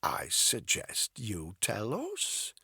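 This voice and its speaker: A man voicing a male officer interrogating a prisoner, slow, polite and clipped with a hard edge. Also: mid-range voice, sing-song, pushed and bright, husky, dry recording, studio quality, pitched above the performer's own voice, full character voice. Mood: stern.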